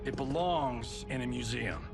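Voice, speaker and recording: An actor playing a cocky, flamboyant fading action star in a video game, showy and self-assured. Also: male voice, medium pitch, fairly even intonation, measured pace, gravelly. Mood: cocky, triumphant. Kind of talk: cinematic video game acting. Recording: loud music behind the voice.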